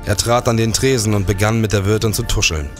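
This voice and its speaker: A man's voice: on the low side, quick pace, soft background music, close to an everyday voice. A man playing a determined policeman who recounts and acts out his adventures in a period crime radio play. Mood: measured narration. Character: determined male policeman narrating his own old-time adventures. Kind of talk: scripted narration and dialogue, period audio drama.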